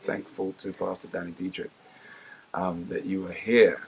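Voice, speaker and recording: A man hosting a church interview, speaking haltingly with frequent ums as he welcomes and thanks his guest. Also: low-pitched, little pitch movement, creaky, slight background noise, poor audio. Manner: halting, many ums, warm and appreciative. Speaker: pastor and interviewer.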